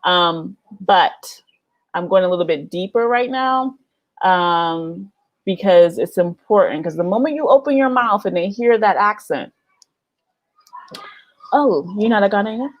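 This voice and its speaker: A woman's voice: mid-range voice, lively intonation, measured pace, pauses often, dry recording, muffled recording. A woman hosting a casual livestream vlog, chatting to viewers and laughing often. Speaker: vlog host running a livestream. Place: living in Ghana.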